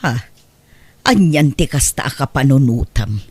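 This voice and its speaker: A woman playing a sharp-tongued, scolding middle-aged aunt in an audio drama. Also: extremely low pitch, animated, a little slow, breathy edge, no room sound, exaggerated voice. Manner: reproachful, scolding. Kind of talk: radio drama acting.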